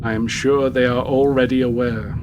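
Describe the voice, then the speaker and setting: A man playing a grave, secretive, uneasy character in a radio play, with stilted, solemn, deliberate phrasing. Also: mid-range voice, steady tone, lo-fi sound, very noisy, lightly acted. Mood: guarded, evasive.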